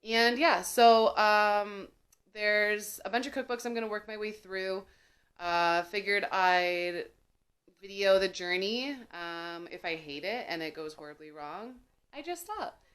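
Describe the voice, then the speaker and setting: A woman's voice: medium pitch, unhurried, fairly bright tone. A young woman vlogging about learning to cook, chatting casually to camera with many fillers while getting over a cold. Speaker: cooking vlogger.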